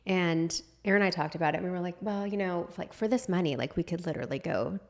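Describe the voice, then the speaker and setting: Brief: slightly hoarse woman in her thirties, podcast co-host, relaxed chatty delivery, laughs easily, lowish voice. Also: fast talker. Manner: chatty, laughs often, lots of "like". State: slightly hoarse, froggy throat. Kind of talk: casual chat.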